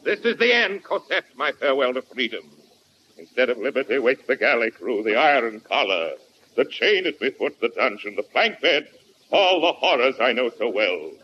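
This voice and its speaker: A man's voice: medium pitch, very animated, normal speed, voice pushed down, natural voice. Guy doing a doomed convict hero, super dramatic and swooping, grand old radio ham acting, dull hissy sound. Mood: doomed, brooding. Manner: hammy, declamatory, with French phrases. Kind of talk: mock-melodramatic 1940s radio acting. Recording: muffled, noisy 1940s radio broadcast.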